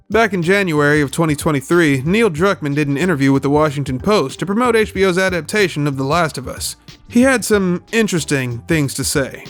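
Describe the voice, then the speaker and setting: A man narrating a scripted, opinionated video essay in an emphatic, colloquial, sweary way. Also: on the high side. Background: music.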